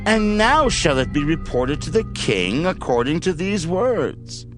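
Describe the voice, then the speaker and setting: Man acting a slow, swooping, scheming enemy governor. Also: on the high side, very animated. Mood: insinuating threat. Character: menacing male enemy governor sending an accusing letter. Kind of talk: dramatized scripture reading. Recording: music under the voice.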